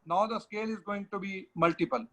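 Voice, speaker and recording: A man's voice: high-pitched, steady tone, brisk. A man with an Indian English accent hosting an online webinar, explaining a virtual trade fair and giving directions to a colleague. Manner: directs a colleague, switches between English and Hindi. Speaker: webinar host from the organizing body.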